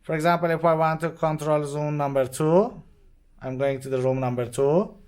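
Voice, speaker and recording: A man who is not a native English speaker gives an unscripted step-by-step product tutorial in short, simple, halting phrases. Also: a little high, fairly even intonation, darkish tone, clean tone. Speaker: product demonstrator. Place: indoors at a demo bench with devices.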